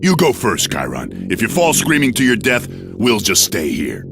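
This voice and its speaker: An actor playing a dry, teasing adventurer of myth in a video game cutscene. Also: male voice, on the low side, wildly swooping pitch, normal speed, vocal fry, music in the background, exaggerated voice.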